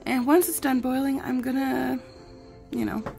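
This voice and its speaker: A woman vlogger sick with the flu, her voice gravelly, chatting in a rambling, self-deprecating way while reviewing glasses at home. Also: sits high, steady tone, moderate pace, warm tone, soft background music. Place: home, indoors and outdoors in yard.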